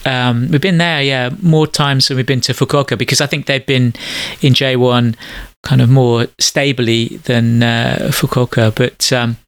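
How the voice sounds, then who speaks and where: A man's voice: medium pitch, fairly even intonation, unhurried, clean tone, no echo. A middle-aged man hosting a podcast, chatting loosely over a call and repeating words while he thinks. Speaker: podcast host. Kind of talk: casual chat over a call. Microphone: call audio.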